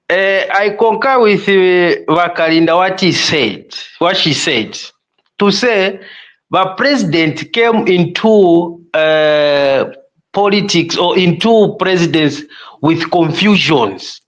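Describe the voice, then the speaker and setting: A Zambian man arguing his point on a podcast panel, unhurried and deliberate. His voice sits high and moves with lively rises and falls. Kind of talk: panel debate.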